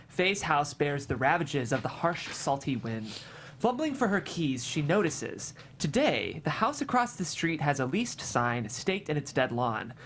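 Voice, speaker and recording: A host narrating a screenplay's stage directions at a table read, in an expressive, dramatic way. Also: medium pitch. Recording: faint background room noise.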